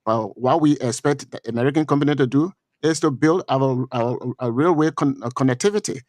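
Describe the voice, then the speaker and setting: A middle-aged man with a Liberian accent comments on politics for a streamed talk show. He speaks at a middle pitch and halts often, repeating words and filling gaps with "uh." His voice is recorded dry and close to the mic. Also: a little mellow, no echo. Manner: halting, repeats words often, many fillers.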